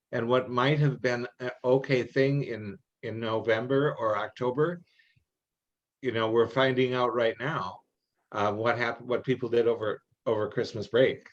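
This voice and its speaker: Man on a dull, lo-fi meeting call. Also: mid-range voice. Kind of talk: informal online meeting talk. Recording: dull, lo-fi online call audio.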